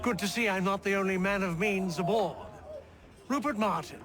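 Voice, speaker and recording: A man's voice: sits high, normal speed, natural voice. A man playing a chatty, affable, well-to-do London traveller with a London English accent in a video game. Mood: affable gossip. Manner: affable, gossipy. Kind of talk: video game cutscene acting.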